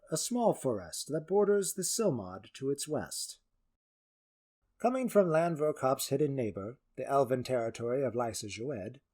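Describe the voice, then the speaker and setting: A man's voice: on the high side, a little mellow. A man narrating a scripted fantasy story as its storyteller.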